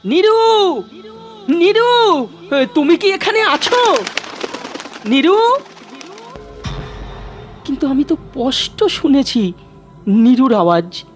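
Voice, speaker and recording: A man playing an anxious, bewildered lover in a horror cartoon, calling out in drawn-out anguish. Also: very high voice, very animated, faint music behind, heavily acted. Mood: anxious, searching.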